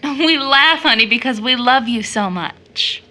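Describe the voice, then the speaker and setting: A woman playing a gentle, affectionate, dutiful young woman in an audio drama, with soothing phrasing. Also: high-pitched, measured pace.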